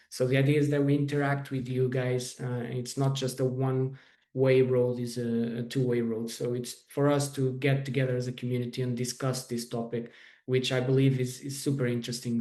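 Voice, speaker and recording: A man's voice: mid-range voice, dark tone. A man hosting an online webinar, giving an informal welcome to the audience. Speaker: webinar host. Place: indoor room on online webinar call. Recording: roomy, echoey sound.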